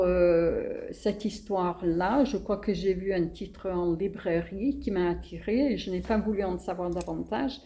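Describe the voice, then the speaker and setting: A woman playwright with a non-native French accent, answering interview questions in a hesitant, thoughtful way with many pauses. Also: fairly low pitch, dark tone.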